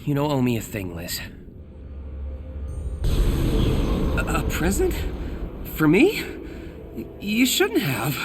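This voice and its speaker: Boyish, animated young superhero. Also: male voice, fairly high pitch, takes it slowly, long pauses, full character voice. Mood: resolute, then excited. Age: young adult. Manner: boyish, nervous, hesitant. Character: anxious, earnest young superhero with a double life. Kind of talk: video game cutscene acting. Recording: clear background noise and ambience.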